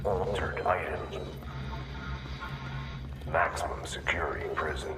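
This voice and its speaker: An actor voicing an ominous, cryptic male presence in a video game, speaking in terse breathy fragments. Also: low-pitched, slow, echoey room.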